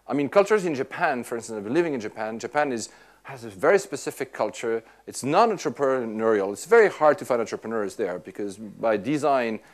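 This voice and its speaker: A Swiss-born man answering audience questions in casual, animated talk, often restarting his sentences. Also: mid-range voice, a little fast. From Switzerland. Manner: animated, many restarts and repeats. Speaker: tech speaker answering questions. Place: lecture room at an institute.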